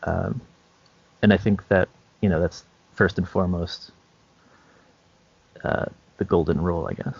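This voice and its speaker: A man co-hosting a podcast, explaining things informally and a little haltingly. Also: sits low, steady tone, creaky, a little mellow, husky. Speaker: podcast co-host. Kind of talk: casual podcast chat. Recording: dry, dull lo-fi recording.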